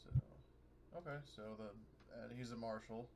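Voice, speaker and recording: Clear background noise and clicks sit behind a man of medium pitch. He is a player in a tabletop game, talking casually and narrating his character in an informal way. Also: fairly even intonation, moderate pace. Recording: noisy audio with clicks.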